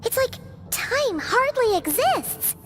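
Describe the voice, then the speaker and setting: An actress voicing a tiny, chatty, childlike fairy companion in a video game, with a squeaky cartoonish voice. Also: female voice, very animated, takes it slowly, fairly bright tone, soft background music, exaggerated voice. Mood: wistful, chatty.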